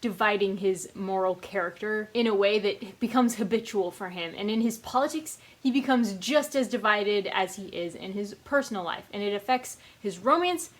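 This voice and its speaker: A woman giving a casual, chatty book review as host of her own channel, with an animated, emphatic way of talking. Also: medium pitch, normal speed, hardly any pauses. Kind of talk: casual scripted-loose book review to camera.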